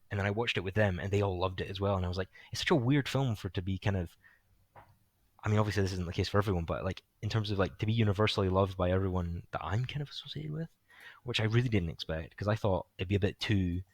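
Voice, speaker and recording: A young man hosting a casual film podcast, rambling with lots of fillers, his voice going hoarse. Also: deep voice, no room sound. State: voice getting hoarse. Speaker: podcast host.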